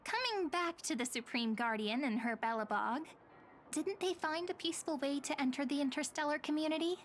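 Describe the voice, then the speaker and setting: An actress voicing a friendly young female guide in a video game, explaining things in a gentle, polite way. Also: sits high, fairly quick, faint music behind, natural voice. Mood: earnest. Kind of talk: video game drama acting.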